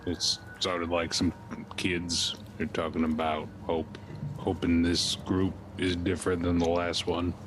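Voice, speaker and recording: A man's voice: sits low, completely flat delivery, a little slow. A man casually roleplaying in a tabletop game, with noisy ambience around his voice.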